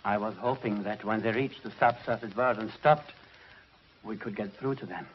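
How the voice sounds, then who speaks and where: An actor playing a deferential laboratory technician in a 1950s TV sci-fi serial, earnestly explaining things. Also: male voice, lowish voice, normal speed, natural voice. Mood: dismayed. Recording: muffled old TV soundtrack, faint noise.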